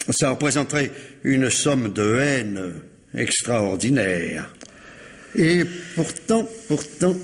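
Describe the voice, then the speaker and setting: An actor playing a courteous, insistent police commissioner who repeats himself in measured questioning, in old radio mystery style. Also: male voice, mid-range voice. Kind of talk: 1950s French radio mystery acting. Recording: reverberant hall-like radio drama sound.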